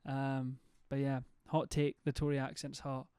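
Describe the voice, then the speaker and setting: A man's voice: medium pitch, monotone, unhurried, dark tone, clear, resonant tone, no echo, clean studio sound. A young man, chatting casually and swearing freely as he reads viewer messages on his stream. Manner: loose, sweary, rambling.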